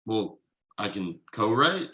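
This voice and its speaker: Man reading as earnest writer, bright forceful voice. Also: lowish voice, big pitch swings, slow pace, full character voice. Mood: earnest, questioning. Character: Thomas, earnest, frugal male writer, easily excited. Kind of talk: table read of a TV pilot script.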